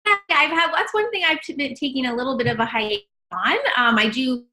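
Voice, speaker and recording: A woman speaks as a guest over an online call, with a clear, bright tone that sits a little high. She chats in a relaxed way and laughs easily between points. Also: a little fast. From Canada. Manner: cheerful, laughs often. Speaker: registered dietitian guest. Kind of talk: casual interview over a call.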